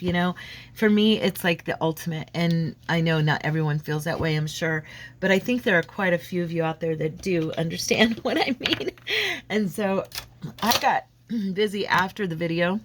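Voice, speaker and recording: A woman hosting a crafting vlog at her home craft desk, chatting casually with lots of fillers while slightly froggy from a head cold. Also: fairly low pitch. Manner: rambling, friendly, many fillers and restarts. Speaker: crafting channel host.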